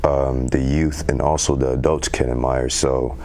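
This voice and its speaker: A teenage young man, a youth council member, answering interview questions earnestly in a very deep voice with some halting fillers. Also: level tone, a little mellow. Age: teens. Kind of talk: community TV interview.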